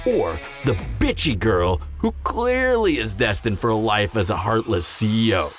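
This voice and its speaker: A man's voice: on the low side, sing-song, faint music behind. Man acting a smug, swooping flirtatious vampire. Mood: menacing, smug. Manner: teasing, smug drawl with dramatic pauses. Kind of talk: scripted audio roleplay, intimate character acting.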